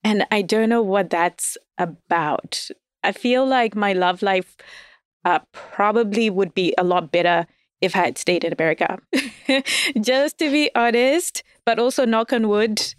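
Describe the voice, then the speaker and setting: A woman's voice: medium pitch, measured pace, smooth, dry recording. Candid laughing woman guest, dry close mic. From Zimbabwe. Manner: laughs often, candid, hedging. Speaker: guest writer. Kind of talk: casual podcast chat.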